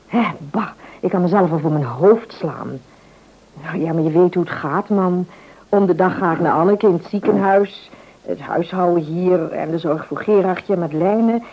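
A woman's voice: fairly low pitch, moderate pace, warm tone, husky, low-quality recording. An actress playing a caring, chatty middle-aged mother in a radio serial, exclamatory and fussing. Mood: fussing, apologetic. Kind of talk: Dutch radio serial acting.

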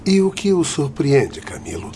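An actor with a Brazilian accent playing a composed, authoritative doctor who guides spirits, formal and didactic, in a radio drama. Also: male voice, a little high, big pitch swings, measured pace, dark tone, slight background noise. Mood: correcting.

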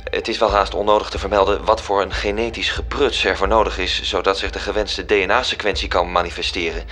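An actor playing a dutiful bureaucratic angel reporting to a superior, formal and deferential, in a radio drama. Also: male voice, sits low, fairly even intonation, quick pace, fairly bright tone. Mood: weary, explanatory. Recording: music behind the voice. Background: music.

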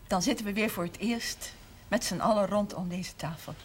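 A woman's voice: mid-range voice, normal speed, natural voice. An actress playing a tender, proud mother of grown children in a television drama. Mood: wistful. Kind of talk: naturalistic television drama acting. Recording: slightly noisy old TV soundtrack.

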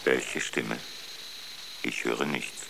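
A man playing a calm ship's computer in a radio drama, speaking in a measured, emotionless and formal way. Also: rumbling low, creaky, exaggerated voice. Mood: calm, detached. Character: ship's computer, calm male machine intelligence. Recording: echoey long reverb, noisy background.

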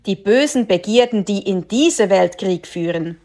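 A woman interpreting a sermon phrase by phrase, measured and following the preacher line by line. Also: medium pitch, animated, warm tone.

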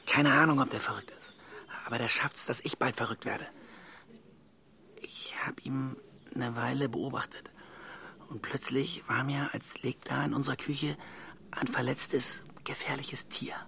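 A lowish, creaky male voice plays a stressed husband in his forties. The delivery is sharp and urgent, often hushed to a whisper, like a man secretly plotting. The style is naturalistic radio drama. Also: steady tone, measured pace. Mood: tense, wary. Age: 40s.

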